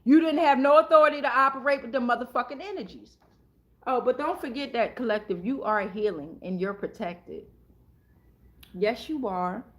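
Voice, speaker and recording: A woman's voice: fairly high pitch, normal speed. A woman hosting an improvised tarot reading, talking casually in a blunt, slangy, profane way and repeating phrases for emphasis.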